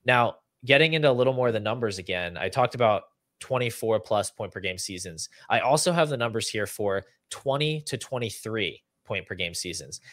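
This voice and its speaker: A young man with a lowish voice talks through sports analysis like a podcast host. He explains numbers and lists conversationally, recorded dry and close in a room at home. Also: lively intonation, a little fast, a bit bright, dry recording. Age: young adult. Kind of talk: fantasy football analysis, conversational. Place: small room at home, indoors. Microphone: video call setup.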